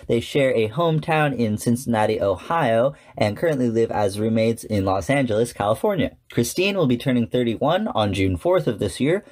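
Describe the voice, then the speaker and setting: A man's voice: mid-range voice, a little mellow. Male podcast host, mid-range voice, chatty and enthusiastic, scripted commentary loosened with conversational asides. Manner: chatty, enthusiastic fan talk.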